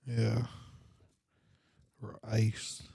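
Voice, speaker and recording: A man's voice: on the low side, completely flat delivery, drawn-out words, vocal fry, dark tone, breathy edge, no room sound. A man co-hosting a comedy podcast, tossing in dry one-line quips during casual studio banter. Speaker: podcast co-host.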